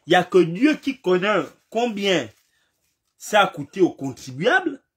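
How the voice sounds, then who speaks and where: A man with a fairly high voice gives a fiery political commentary. His pitch swings widely as he repeats phrases, piles up rhetorical questions and sometimes shouts. He has a slight cold. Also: big pitch swings, measured pace. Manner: emphatic, repetitive, rhetorical questions, rising to shouts.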